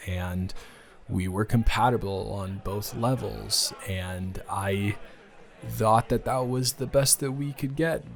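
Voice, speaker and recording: A man playing a smitten young man in an improvised romantic audio roleplay, speaking to his casual lover in a hesitant, earnest, halting way. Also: fairly low pitch, creaky. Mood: tender, confessing.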